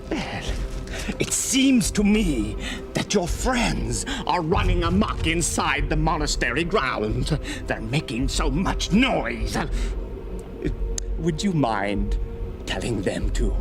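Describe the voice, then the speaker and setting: A man's voice: on the high side, very animated, slow, heavily acted. An actor playing a sly, teasing old male caretaker in a video game cutscene, with music behind him. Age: older.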